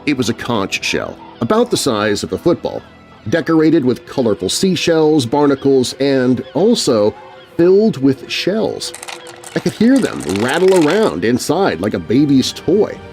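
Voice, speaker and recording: Man narrating as animated, shaken, grumbling kid storyteller. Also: medium pitch, soft background music, voice pushed up. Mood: curious. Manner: dramatic, expressive storytelling with deliberate pauses. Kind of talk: first-person scripted story narration.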